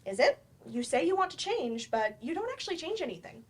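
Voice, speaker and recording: A woman's voice: high-pitched, big pitch swings, brisk. A woman in a staged play reading, playing a firm, probing therapist with a direct, dry manner. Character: blunt, probing female therapist, patient but firm.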